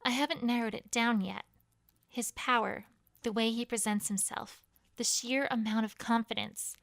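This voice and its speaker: A woman's voice: fairly high pitch, steady tone, moderate pace, creaky, a bit bright, no room sound, clean studio sound. A woman reading fan fiction as first-person story narration, in character as a proud pony queen.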